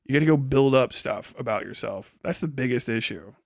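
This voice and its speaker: A man's voice: mid-range voice, lively intonation, fairly quick, gravelly, no room sound. Blunt, sweary man livestreaming, dry close sound. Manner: blunt, heavily profane. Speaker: livestream host and commentator. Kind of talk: casual livestream chat answering viewer messages.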